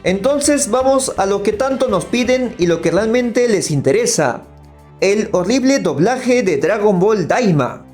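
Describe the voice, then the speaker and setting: A man's voice: high voice, animated, a little slow, darkish tone, faint music behind. A man narrating an opinionated scripted review. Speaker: video critic.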